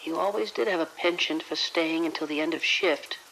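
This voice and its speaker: Woman acting a low voiced evil corporate lady, cold n condescending, measured threats, horror audio drama, bit of background noise. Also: vocal fry, fairly bright tone, poor audio. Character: cold, condescending female corporate villain, quietly menacing.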